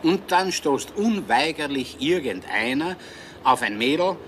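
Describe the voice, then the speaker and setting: An actor playing a genial, talkative middle-aged police inspector with a Viennese accent in a radio crime drama. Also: male voice, on the high side, a little slow. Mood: chatty. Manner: chatty, easygoing, lively. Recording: hissy old radio recording.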